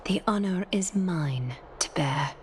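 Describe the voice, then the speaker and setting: A woman's voice: fairly low pitch, animated, slow pace, slight background noise. An actress playing a regal, composed female demigod who presides as judge with formal authority in a fantasy game. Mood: stately, commanding. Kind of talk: dramatic fantasy game voice acting.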